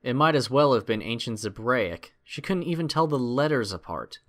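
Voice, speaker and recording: A man's voice: mid-range voice, animated, normal speed, fairly bright tone. A man narrating a scripted adventure story in a dramatic storytelling style.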